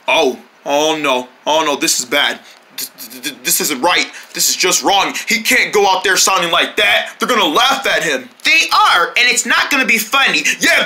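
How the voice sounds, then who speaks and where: A performer voicing every character in a homemade paper puppet skit, jumping between squeaky and low put-on voices with lots of shouting and crying.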